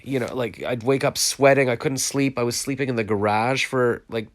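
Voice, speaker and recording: A man's voice: medium pitch, fairly even intonation, normal speed. Congested man venting on a live stream. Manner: frustrated, venting, rambling. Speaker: vlogger hosting a live stream. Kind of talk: casual rambling chat to viewers. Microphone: phone, live stream from home.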